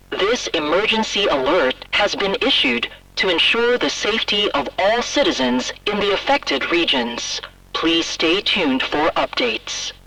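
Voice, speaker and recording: Creaky, bright emergency alert announcer. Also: mid-range voice, recorded from a distance, low-quality recording. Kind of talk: scripted emergency broadcast announcement.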